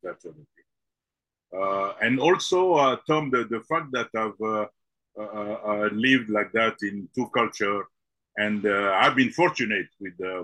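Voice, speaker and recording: A middle-aged man from the Congo, a podcast guest answering with many halting fillers and repeated words. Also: fairly low pitch, sharp tone, no echo, rough recording.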